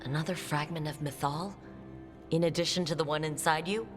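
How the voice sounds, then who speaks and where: A woman's voice: low voice, steady tone, fairly quick, lightly acted. An actress playing a plainspoken, wry woman adventurer in a fantasy game, speaking casually and directly with a questioning manner. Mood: skeptical. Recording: studio voice over game music. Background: background music.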